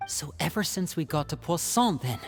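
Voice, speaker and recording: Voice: medium pitch, lively intonation, normal speed, close to an everyday voice. A voice actor playing a confident young magician in a video game, a protective older brother who shifts from teasing to firm questioning. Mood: serious. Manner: smooth, teasing, turns firm and probing. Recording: game dialogue over soft music.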